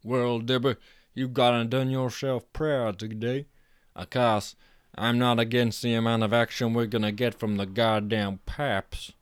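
A man's voice: medium pitch, flat delivery, measured pace, smooth, no echo, clean studio sound, close to an everyday voice. A man voicing a brash, boastful adult man who swears and talks in a folksy drawl. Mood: fretful.

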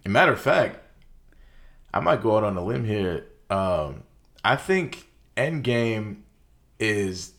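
A young man co-hosting a podcast, talking loosely about movies in slangy, animated casual chat with lots of fillers. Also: lowish voice. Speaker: podcast co-host.

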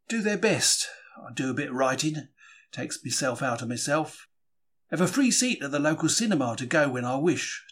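A man's voice: mid-range voice, fairly bright tone, slightly rough. A man reading a diary dramatically as a gutsy, emotional Cockney bookseller in wartime, colloquial and confiding. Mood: weary, low. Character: gutsy, emotional working-class London bookseller diarist in wartime. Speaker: reader.

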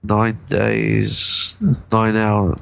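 Hesitant guy with lots of vocal fry, casual podcast chat. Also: on the low side, monotone, very slow, a bit bright. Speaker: podcast co-host.

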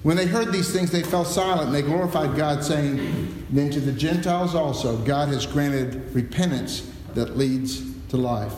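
A man's voice: on the high side, moderate pace, darkish tone. Middle-aged male pastor, teacherly sermon, church hall with long echo and faint hum. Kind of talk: sermon with scripture reading. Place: church sanctuary. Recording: very echoey hall, long reverb.

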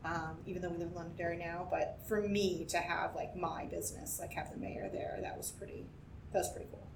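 A woman's voice: flat delivery, a little fast, vocal fry. A woman from New Hampshire chatting in an interview as a business owner, a chatty, giggly storyteller. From New Hampshire, USA. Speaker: taproom co-owner. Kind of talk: casual interview chat. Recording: echoey, distant mic with rumble noise.

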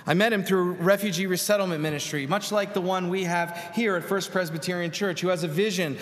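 A middle-aged man preaches a sermon in a church sanctuary, telling a story earnestly with pauses and repeated words. His voice sits somewhat high for a man. The hall around him lets each phrase trail off in a long reverb. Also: fairly even intonation. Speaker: pastor.

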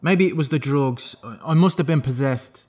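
A man acting in an audio drama as a remorseful imprisoned killer confessing, halting and full of self-loathing. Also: medium pitch, darkish tone, close to an everyday voice. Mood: defensive, halting. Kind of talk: naturalistic radio drama acting.

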